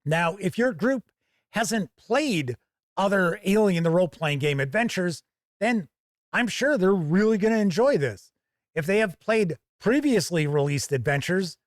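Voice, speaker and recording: A man with a slightly high voice presents a product review in a conversational way. He is emphatic, leaning on key phrases for stress. The recording is close and dry, with little room sound. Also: no room sound. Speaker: host of a tabletop game review channel.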